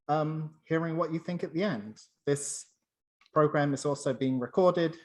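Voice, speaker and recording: A host giving an informal live welcome, tentative and low-key. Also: darkish tone.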